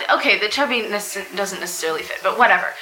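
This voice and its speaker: A woman's voice: medium pitch, lively intonation, very fast. A young woman in her late teens chatting informally and jokingly as a guest on a live panel. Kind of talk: casual live panel chat. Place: live convention panel by a restaurant window. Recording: faint background noise.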